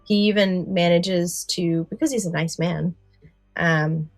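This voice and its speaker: A woman's voice: on the low side, fairly even intonation, a little slow, no room sound, faint music behind. A woman co-hosting a podcast in casual chat, recounting favourite stories and often correcting herself. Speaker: podcast co-host.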